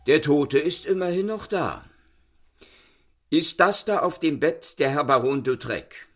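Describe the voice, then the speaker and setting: A man playing a zealous, sceptical Paris police inspector in a radio crime comedy, questioning briskly and dryly. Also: on the high side, lively intonation, soft background music.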